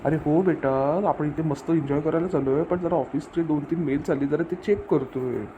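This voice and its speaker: A man's voice: on the high side, moderate pace, warm tone, lo-fi sound, natural voice. A man playing a cheerful, devoted father in a cartoon family comedy, speaking in an affectionate, earnest way. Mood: explaining. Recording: clear background noise, ambience.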